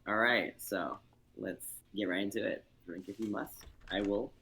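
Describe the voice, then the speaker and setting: A man's voice: fairly low pitch, steady tone, moderate pace, gravelly, pushed and bright. A young man narrating a screenplay as host of an amateur livestreamed reading. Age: young adult.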